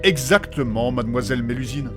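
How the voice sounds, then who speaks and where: Man playing a prim, pedantic fantasy scholar, animated. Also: medium pitch, fairly quick, music in the background, close to an everyday voice. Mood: prim, chiding. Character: fussy, polite, pedantic male scholar in fantasy party. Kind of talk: comic fantasy audio drama acting.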